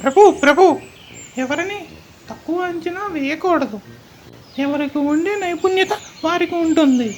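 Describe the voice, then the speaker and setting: Woman acting a high-voiced cheeky little monkey. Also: normal speed, a little hiss or hum, natural voice. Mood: mocking. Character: small, cheerful, cheeky monkey who teases the lion. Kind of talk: children's puppet show voice acting.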